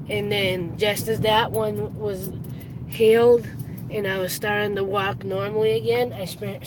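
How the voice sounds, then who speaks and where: A raspy-voiced live streamer with heavy breathing, rambling casually while driving a car. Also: medium pitch. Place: inside a moving car. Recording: road and car noise.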